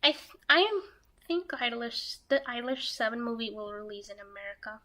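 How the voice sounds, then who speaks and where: A young woman streaming herself while drawing, talking softly and half-whispering, a little nervous, with pen scratching heard behind her. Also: a little high, lively intonation, normal speed. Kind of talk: casual live-stream chat while drawing. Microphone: desk microphone that picks up pen scratching. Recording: noisy home stream recording.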